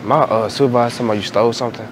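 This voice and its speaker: A man's voice: medium pitch, steady tone, very fast. A man posing as a store worker, chatting casually in a hesitant, stammering way in a supermarket. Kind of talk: casual bumbling chat. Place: large indoor supermarket aisle. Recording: loud store din, noisy crowd.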